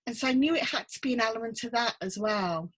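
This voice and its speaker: A middle-aged woman from North Wales, a guest talking gently and thoughtfully over a video call, with many ums and restarts. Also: on the high side, fast. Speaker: guest, book mentor. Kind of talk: casual interview chat. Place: home room with full bookcase.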